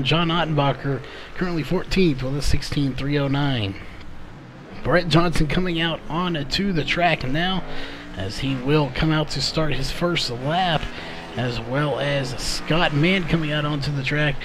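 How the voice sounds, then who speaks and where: Man, race commentator, hoarse, methodical play-by-play, racing noise behind. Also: a little high. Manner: steady, methodical calling of lap times. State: losing his voice.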